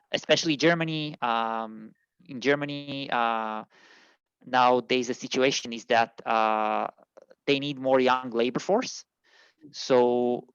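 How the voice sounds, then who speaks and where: A man from Israel, an immigration consultant, answering interview questions in a halting way with many fillers and restarts. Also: mid-range voice, clean tone, no room sound, muffled recording.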